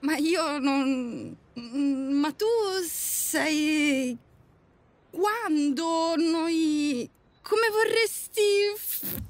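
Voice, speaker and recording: Audio drama young woman, played by an actress, defiant and spirited, very high voice, unhurried delivery. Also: no room sound. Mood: hesitant. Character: spirited, defiant young daughter of a strict father.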